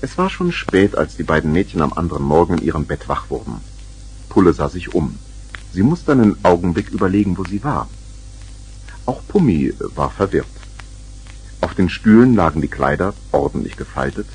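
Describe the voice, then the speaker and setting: An actor playing the narrator of a children's radio play, telling the story from a script. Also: male voice, low-pitched, sing-song, normal speed, darkish tone, husky, heavily acted, low-quality recording. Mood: storytelling. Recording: 1970s vinyl record with music.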